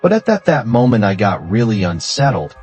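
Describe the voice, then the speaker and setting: Low-voiced man narrating horror stories. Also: big pitch swings, fairly quick, darkish tone. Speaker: horror story channel narrator. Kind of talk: scripted first-person horror story narration. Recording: music bed under voice. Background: music.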